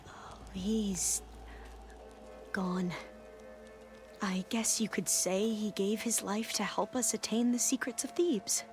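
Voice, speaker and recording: Woman acting as anxious hesitant game character, slow careful delivery, medium pitch, faint music behind. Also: fairly even intonation, close to an everyday voice. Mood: hesitant, evasive. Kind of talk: video game cutscene acting. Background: soft cinematic music.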